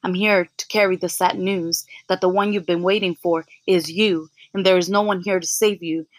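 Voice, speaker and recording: A young woman hosting a podcast, softly introducing and then reciting her own spoken word poem in a measured way. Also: lowish voice, steady tone, no echo, rough recording. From United States. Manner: soft-spoken, frequent ums, measured poetic delivery. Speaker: podcast host.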